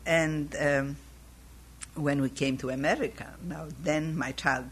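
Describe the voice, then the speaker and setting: A woman's voice: low-pitched, unhurried, breathy edge. An older woman from Poland, recalling her youth in an oral history interview, her voice scratchy from a cold. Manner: reflective, animated when recalling youth.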